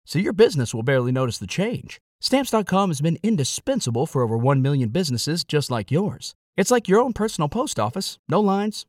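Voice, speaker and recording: A man's voice: a little high, dry recording, studio quality. A man doing a scripted, punchy ad read. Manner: punchy, lively delivery.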